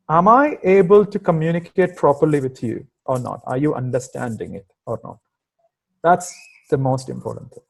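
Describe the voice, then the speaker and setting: A man's voice: a little high, vocal fry. A man from India with a mixed Indian and American accent, answering interview questions on a video call with many fillers and emphatic asides. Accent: Indian with some American. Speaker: guest software engineering manager.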